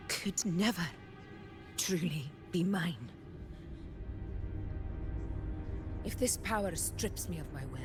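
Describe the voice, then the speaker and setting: A woman playing a proud, resolute warrior leader in a video game, speaking formally and solemnly. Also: fairly low pitch. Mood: solemn defiance. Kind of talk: video game character acting. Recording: background music.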